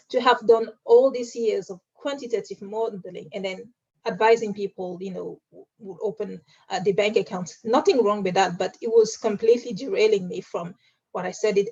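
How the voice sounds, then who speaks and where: A woman from Cameroon in her thirties, a guest in an interview, talking through her career with many pauses, fillers and restarts. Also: medium pitch, warm tone, dull audio. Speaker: guest, strategy analyst. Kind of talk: interview answers, recounting career.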